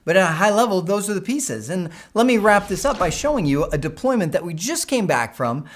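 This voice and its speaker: A man with a slightly high-pitched voice works as an instructor, explaining technical equipment informally. He talks conversationally, as if to one learner, and keeps checking in with short tag questions. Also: big pitch swings, brisk. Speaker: instructor explaining school PA systems.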